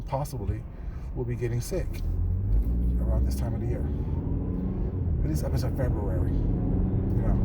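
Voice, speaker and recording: A man recording a casual vlog on his way to work, with a groggy morning voice, rambling in a relaxed way and often asking "you know what I'm saying". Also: mid-range voice. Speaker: vlogger. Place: car on the way to work. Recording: car and road noise behind.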